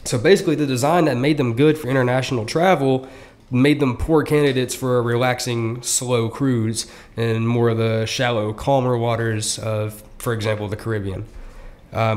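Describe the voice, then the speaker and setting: A young man co-hosting a conversational podcast, talking through facts in a relaxed, chatty way. He fills gaps with "uh" and "like" and tosses in quick asides. His voice sits at an ordinary middle pitch for a man. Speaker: podcast co-host. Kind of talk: casual explanatory chat.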